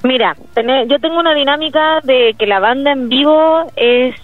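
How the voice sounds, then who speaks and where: A woman's voice: high-pitched, normal speed, no echo, faint music behind. A woman with a Chilean accent, a musician talking about her project in an interview, hesitating often with fillers and restarts. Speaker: solo musician, interview guest. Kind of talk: casual interview over a call. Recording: dry, tinny phone-like line.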